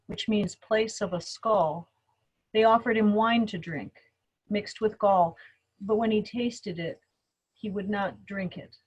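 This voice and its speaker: A woman's voice: mid-range voice, slightly rough, rough recording. A woman pastor reading scripture aloud in a dramatic group reading over a video call. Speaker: Lutheran pastor.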